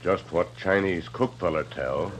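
Stoic native horse wrangler, old radio western, grunty broken english, really noisy recording. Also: male voice, low-pitched, a little slow.

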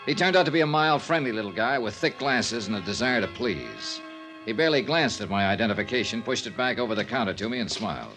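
An actor with an American accent playing a tough, sardonic, self-assured investigator in an old-time radio drama, clipped and wry in dialogue and narration. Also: male voice, on the low side, lively intonation, fast talker, over music, low-quality recording. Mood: matter-of-fact narrating.

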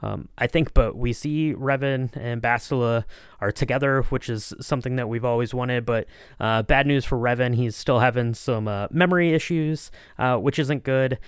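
A man's voice: medium pitch, moderate pace, smooth, no echo, studio quality. A man hosting a podcast in a casual, informal, conversational way. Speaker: podcast host. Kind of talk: casual chat.

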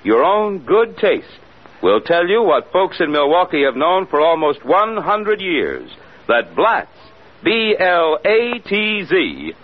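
Male vintage radio announcer, emphatic salesman delivery, very varied pitch, slightly husky, muffled recording. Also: huge pitch swings, takes it slowly. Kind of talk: 1940s-50s radio ad read.

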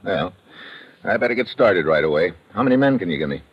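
Low-voiced hard-boiled radio adventurer. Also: male voice, animated, fairly quick, breathy edge. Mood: dry, businesslike. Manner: wry, slangy, laconic. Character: tough wisecracking male agent, world-weary, narrating his own adventure. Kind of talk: old-time radio adventure acting, hard-boiled narration. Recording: dull, noisy old radio recording.

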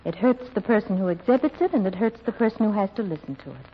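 An actress playing a prim, earnest young daughter in old-time radio style, speaking in a proper, preachy, formal manner. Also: female voice, medium pitch, lively intonation, quick pace, lo-fi sound, noisy background, lightly acted. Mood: earnest preaching. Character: prim, earnest, pious-sounding daughter, primly self-controlled.

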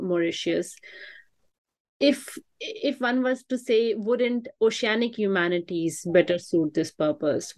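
A woman from India interviewing an author. She asks polite, measured questions with occasional fillers. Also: fairly low pitch, a little mellow. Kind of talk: academic interview.

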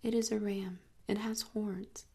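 A woman's voice: mid-range voice, level tone, measured pace, darkish tone, slightly rough. A woman whispering the lines of a grave, insistent little boy from another world in a storybook reading.

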